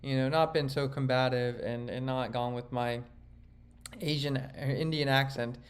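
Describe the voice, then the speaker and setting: A man's voice: medium pitch, steady tone, normal speed. Male vlogger and comedian, mid-range voice, reflective, self-critical, rambly commentary with restarts. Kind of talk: reflective commentary reviewing his own set.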